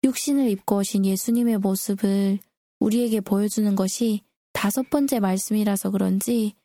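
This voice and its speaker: A woman's voice: medium pitch, normal speed, mellow, no echo. A woman speaking politely and reflectively as a co-host in a prepared-sounding Bible discussion. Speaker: co-host discussing scripture with a pastor.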